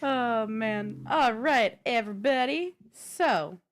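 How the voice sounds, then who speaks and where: A woman co-hosting a gaming news show, delivering items in a casual, animated, conversational way. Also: a little high, slow pace. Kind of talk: casual news segment with off-the-cuff chat.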